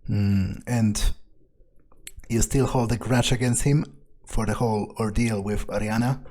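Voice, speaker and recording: Man playing a cynical vampire with a mellow, dark voice. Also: lowish voice, steady tone, a little slow. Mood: probing. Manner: hesitant, blunt, profane. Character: cynical, arrogant male vampire, blunt and irreverent. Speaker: gamer roleplaying his character. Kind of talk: improvised in-character video game roleplay.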